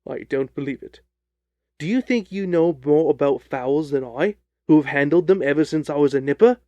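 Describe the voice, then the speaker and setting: A man voicing a surly, cocksure, quick-tempered Victorian poultry salesman in a blustering, combative storybook performance. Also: fairly high pitch, dark tone, dry recording, highs cut off, close to an everyday voice. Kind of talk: audiobook character voice.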